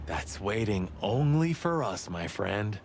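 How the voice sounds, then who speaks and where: An actor playing a talkative, sardonic rooster cop sidekick in a film-noir adventure game. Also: male voice, on the low side, very animated, slow, creaky, a little hiss or hum, heavily acted. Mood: wry.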